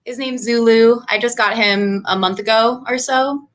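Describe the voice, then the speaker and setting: A woman's voice: on the high side, steady tone, normal speed. A young woman from New Hampshire, a doctoral student talking casually as an interview guest, chatty with frequent fillers and laughs. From New Hampshire, USA. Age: young adult.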